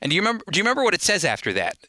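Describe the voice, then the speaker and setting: Man, radio preacher, very bright forceful voice, fairly high pitch, earnest, emphatic, deliberate phrasing. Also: huge pitch swings, dry recording. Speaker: radio Bible teacher and host. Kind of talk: sermon-like radio teaching.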